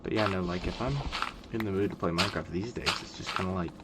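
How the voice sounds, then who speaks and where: A man in his twenties giving calm, monotone, rambling gameplay commentary, full of fillers. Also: low-pitched, fast talker. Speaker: gaming video creator. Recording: loud background noise.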